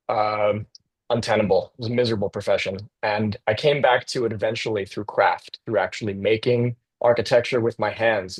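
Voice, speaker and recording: A man from the United States, presenting as a guest architect over a video call in a casual, enthusiastic way with some fillers. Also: fairly low pitch.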